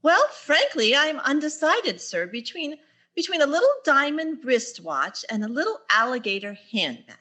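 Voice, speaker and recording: A woman playing a wry, flustered English teacher in an old-time radio sitcom, theatrical and quick with wisecracks. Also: high-pitched, sing-song, bright, forceful tone, exaggerated voice. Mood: coaxing. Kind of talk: 1940s radio sitcom acting on stage.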